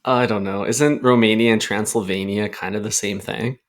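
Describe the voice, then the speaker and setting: A man's voice: on the low side, steady tone, moderate pace. A young man co-hosting a podcast, talking in a jokey, rambling way and laughing often. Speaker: podcast co-host. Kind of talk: casual comedic chat.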